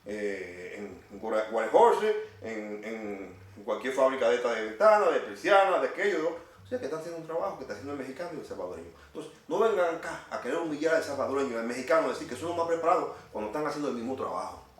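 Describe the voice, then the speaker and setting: Fast, indignant Cuban man in echo. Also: mid-range voice, very animated. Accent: Cuban Spanish. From Cuba. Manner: indignant, scolding, repetitive. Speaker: vlogger. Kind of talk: ranting monologue to camera. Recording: echoey room, distant from mic.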